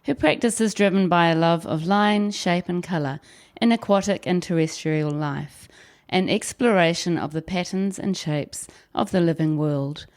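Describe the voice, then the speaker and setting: A New woman hosts a podcast in a slightly low voice. She reads her intro from a script, then settles into relaxed, encouraging conversation with her guest. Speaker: podcast host. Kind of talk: scripted intro then casual interview chat.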